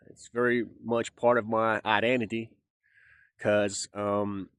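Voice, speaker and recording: A Finnish man talks to camera in English with a Southern drawl, rambling and joking between fillers. His voice sits fairly low, and the recording is dry and close. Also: little pitch movement, takes it slowly, fairly bright tone, smooth, dry recording. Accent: Southern American-style English. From Finland. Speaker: vlogger. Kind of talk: casual monologue to camera.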